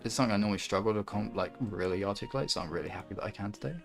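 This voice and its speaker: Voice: sits low, racing. Reflective livestream host with music behind. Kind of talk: casual chat and reflective monologue to a livestream audience.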